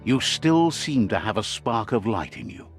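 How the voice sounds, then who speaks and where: A middle-aged man narrates in a low, deep voice with a lot of rise and fall in his pitch. His delivery is measured and wise, like a scripted storyteller, with soft music behind him. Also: huge pitch swings, gravelly.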